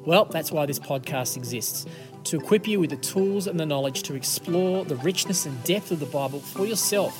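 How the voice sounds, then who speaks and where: A man with an Australian accent hosting a Bible podcast, his voice a little croaky, talking in a jovial, playful way. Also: on the high side, animated, normal speed, over music. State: slightly croaky voice. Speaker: podcast host. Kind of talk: casual Bible podcast chat. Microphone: studio microphone.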